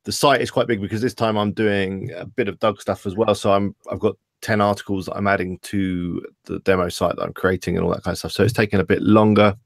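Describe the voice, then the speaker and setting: A man's voice: lowish voice, steady tone, brisk, creaky, few pauses, no room sound, clean studio sound. Chatty man hosting a livestream. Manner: chatty, reads viewer questions aloud. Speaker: livestream host. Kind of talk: casual livestream Q&A.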